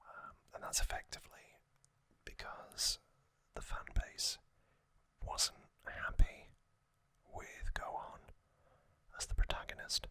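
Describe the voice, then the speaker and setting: A man whispering an unhurried ASMR recap, with soft pauses and frequent ums. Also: dry recording. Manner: unvoiced whisper, slow and relaxed with frequent ums. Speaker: ASMR channel host.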